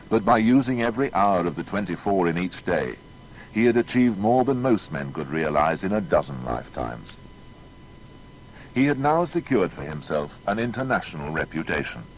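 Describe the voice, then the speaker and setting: A man's voice: deep voice, a little hiss or hum, poor audio. A man narrating a scripted biography with emphatic, rhetorical delivery.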